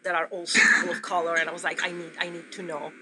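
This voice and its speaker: A woman's voice: fairly low pitch, little pitch movement, rapid-fire. A woman with an Italian accent hosting a casual interview, enthusiastic and stumbling over words as she asks questions. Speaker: host. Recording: noisy recording.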